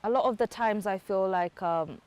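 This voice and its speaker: A woman's voice: medium pitch, normal speed, darkish tone, clean tone. A young woman from Kenya, a law student, giving thoughtful, earnest interview answers with frequent ums.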